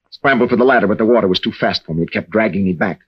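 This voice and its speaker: An actor playing a troubled young American navy veteran and husband, speaking earnestly and haltingly in an old radio drama. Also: male voice, lowish voice, breathy edge. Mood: haunted, confessional. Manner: halting, earnest, with stammered restarts. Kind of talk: 1940s-50s radio drama acting. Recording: dull old-time radio recording.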